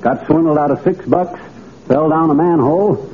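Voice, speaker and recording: A man's voice: fairly high pitch, moderate pace, phone-quality sound, close to an everyday voice. An American man acting a folksy, cheerfully obtuse small-town wisecracker in an old-time radio comedy, with slangy, teasing talk. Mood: ruefully jaunty. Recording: noisy, phone-thin old radio recording.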